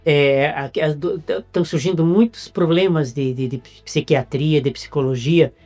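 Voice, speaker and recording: A Brazilian-accented man hosting a casual interview, halting and repeating words as he searches for them. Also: on the high side, steady tone, darkish tone, faint music behind. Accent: Brazilian Portuguese. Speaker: interviewer host.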